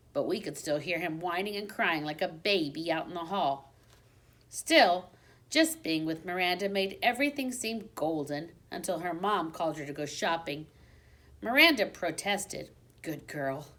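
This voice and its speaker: A woman reading a children's book aloud like a teacher, with expressive storybook narration and dramatic emphasis. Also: on the low side. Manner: dramatic emphasis on exciting moments.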